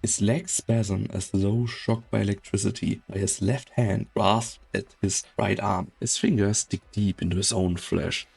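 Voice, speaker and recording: Low-voiced male actor as grave storyteller for a dark game tale, husky and gravel-edged. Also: steady tone, unhurried, hardly any pauses, no room sound, full character voice. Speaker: story narrator. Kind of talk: scripted dramatic narration.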